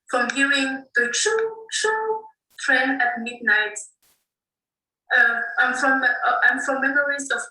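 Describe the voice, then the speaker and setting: A woman reading her own poem aloud in an earnest, measured recitation. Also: on the high side, bright, forceful tone. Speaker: student poet. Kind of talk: poetry reading. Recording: echoey room, distant mic.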